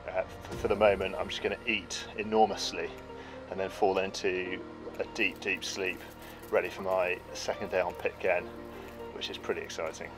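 A man's voice: low voice, flat delivery, normal speed, over music, poor audio. A man talking casually about his days at sea while sailing a small boat solo, chatty and upbeat with some hesitations. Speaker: solo sailor vlogger. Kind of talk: casual vlog narration. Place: aboard a small sailboat.

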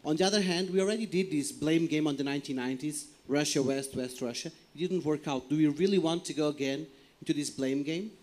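A man from Portugal argues his side from a theatre audience, earnest and to the point. His voice sits a little high. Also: quick pace, darkish tone. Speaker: audience member.

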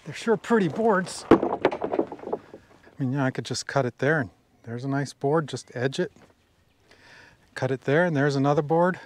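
A man's voice: mid-range voice, lively intonation, normal speed, pauses often, slight background noise. A middle-aged man running a sawmill outdoors, giving casual running commentary to a camera on the mill as he works, musing and admiring the wood. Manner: musing, talks to himself, admiring asides. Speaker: sawmill operator. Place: outdoors at a sawmill in a wooded yard. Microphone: action camera on the mill.

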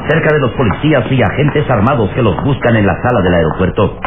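A man's voice: medium pitch, expressive, fast, close to an everyday voice. A man narrating a vintage radio adventure serial in a grave, dramatic storytelling manner. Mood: tense, grave. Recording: noisy muffled old radio serial.

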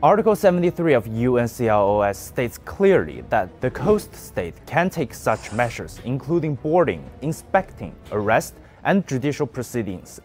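A young man presenting a scripted news commentary with an emphatic, pointed delivery. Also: medium pitch.

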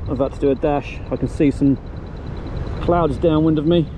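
A man's voice: on the high side, steady tone, normal speed. A man giving a running commentary as he pilots a paraglider outdoors, thinking aloud in short, clipped phrases. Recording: noisy muffled outdoor action-camera audio, wind.

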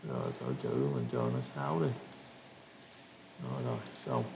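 A man with a Southern Vietnamese accent giving a casual software tutorial, often repeating short phrases as he demonstrates. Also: rumbling low, wildly swooping pitch, moderate pace, gravelly. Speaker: tutorial instructor. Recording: roomy echo with background noise.

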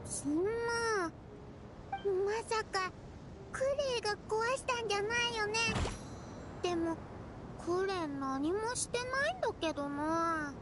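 A woman's voice: very high-pitched, animated, unhurried, pauses often, background noise. A woman voicing a sweet, innocent little girl adventurer in an anime game, with a cute childlike delivery. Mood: worried. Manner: innocent, refers to herself by name. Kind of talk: Japanese anime game acting.

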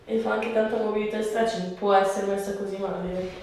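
A woman's voice: medium pitch, little pitch movement, brisk, a little mellow, slight background noise. Young woman, casual joking patient, playfully dramatic, far from the mic, strong room echo. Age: young adult. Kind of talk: casual chat during a treatment. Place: small bare treatment room, indoors. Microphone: camera a few steps away. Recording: echoey room, distant mic.

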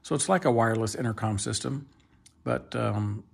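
Creaky-voiced man demonstrating a product. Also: mid-range voice, normal speed. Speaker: product reviewer. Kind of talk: casual unscripted product demonstration. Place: home kitchen, indoors.

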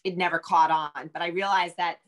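A woman's voice: lowish voice, steady tone, fairly quick, fairly bright tone. A middle-aged American woman talking casually as an interview guest on a video call, thinking aloud as she goes. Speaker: guest novelist. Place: indoor room at home, video call.

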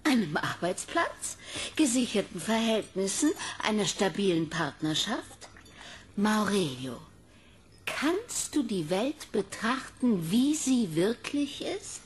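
A woman's voice: medium pitch, big pitch swings, takes it slowly, slight background noise. A woman playing a stern, sober-minded psychologist in a radio play, lecturing in an admonishing way. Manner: admonishing, didactic.

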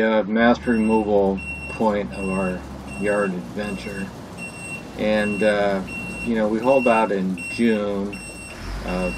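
A man, casually narrating a sailing vlog in a relaxed, conversational way. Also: fairly low pitch, noisy background.

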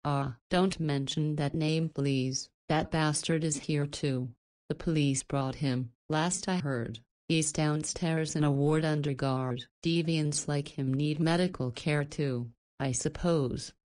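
A woman's voice: low voice, steady tone, takes it slowly, a little mellow. An actress playing a caring, flirty woman in a visual novel, chiding and teasing like a doting guardian. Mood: disgusted, indignant.

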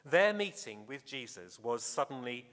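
A man preaching a sermon in a measured, deliberate way with dramatic emphasis, his voice echoing in a hall. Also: medium pitch, big pitch swings, a bit bright. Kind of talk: sermon with scripture reading. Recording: reverberant hall, long echo.

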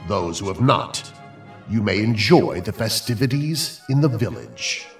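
A man's voice: on the low side, animated, unhurried. A man playing a commanding, ceremonious king in a horror audio drama, proclaiming formally. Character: commanding, ceremonious king of a cruel fantasy realm. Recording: echoing room with music behind.

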